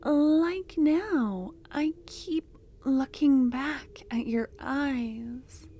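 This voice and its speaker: A young woman voicing an obsessive, possessive female cultist in an ASMR roleplay. She talks in a soft, close murmur that drops into whispers, and her tone is doting and unsettling. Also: sits high, breathy edge, exaggerated voice.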